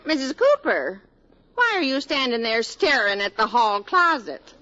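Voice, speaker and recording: An actress playing a loyal, good-humoured, drily complicit household maid in a 1940s radio sitcom. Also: female voice, on the high side, big pitch swings, unhurried, sharp tone, exaggerated voice. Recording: dull, noisy 1940s radio recording.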